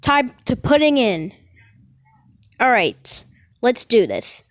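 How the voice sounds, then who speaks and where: A woman's voice: on the high side, big pitch swings, slow, very noisy. A woman hosting a reaction to a scary video, exclaiming dramatically and repeating herself.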